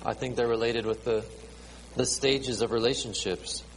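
A man in his late thirties preaching a sermon to a congregation with children, halting and repeating words, with many ums. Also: medium pitch, steady tone. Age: 30s. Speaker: pastor. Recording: reverberant hall, faint ambient noise.

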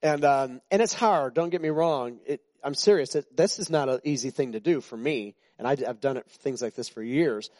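A man's voice: medium pitch, moderate pace, few pauses, darkish tone, no echo. A man in his sixties, a pastor giving an informal, chatty sermon to his church, joking with the congregation and often restarting his sentences. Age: 60s.

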